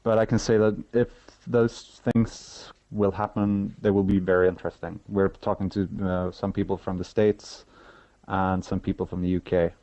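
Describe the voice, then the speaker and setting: A man's voice: sits low, level tone, warm tone, dry recording. A man with a Swedish accent, giving a hesitant, conversational phone interview as an event organizer. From Sweden. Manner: hesitant, halting. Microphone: telephone. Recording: muffled telephone line.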